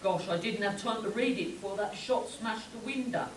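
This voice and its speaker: A woman's voice: mid-range voice, moderate pace, a little hiss or hum, close to an everyday voice. A woman playing a curious, wide-eyed adventurer in a spoof radio play performed live. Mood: wondering. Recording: echoey room, distant mic.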